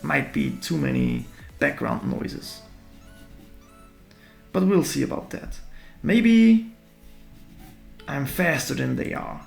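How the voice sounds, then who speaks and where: Gravelly man, slow drawing chat. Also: mid-range voice, big pitch swings, echoey room, soft background music. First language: German. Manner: halting, self-deprecating, thinking aloud while drawing. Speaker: artist. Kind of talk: live drawing commentary.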